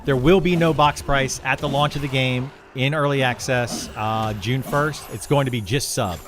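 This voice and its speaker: A man's voice: medium pitch, moderate pace, a bit bright, soft background music. A middle-aged man, a video game streamer, talking casually and a bit ramblingly as he answers viewers on a livestream. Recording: clear background noise and ambience.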